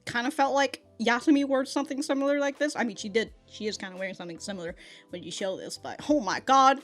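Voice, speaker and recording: High-voiced woman giving slangy, giggly commentary. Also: fast, a bit bright. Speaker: anime reaction reviewer. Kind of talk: casual, off-the-cuff reaction commentary.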